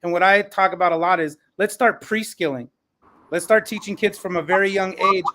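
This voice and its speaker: A man's voice: sits high, brisk, pushed and bright. A man hosting a panel discussion, speaking in an affirming, encouraging manner. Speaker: host.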